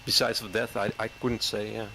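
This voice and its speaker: A man around forty, a caller chatting hesitantly with many fillers and soft chuckles. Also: lowish voice, level tone, a little fast, a little hiss or hum. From Netherlands. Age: 40s. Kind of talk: casual chat.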